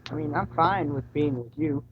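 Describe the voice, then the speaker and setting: A man's voice: on the high side, normal speed. A man casually reading a story aloud and voicing its dialogue. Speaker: reader in a group fanfiction reading. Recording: dull, faintly noisy home recording.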